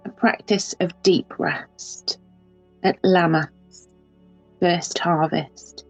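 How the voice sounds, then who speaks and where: A woman leading a guided yoga nidra relaxation, speaking softly and unhurriedly with long pauses. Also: on the low side, fairly even intonation. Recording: slightly dull, with soft music behind.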